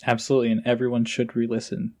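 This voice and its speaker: A man's voice: mid-range voice, monotone, rapid-fire, darkish tone. A man talking casually as a guest in a podcast conversation. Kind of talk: casual chat.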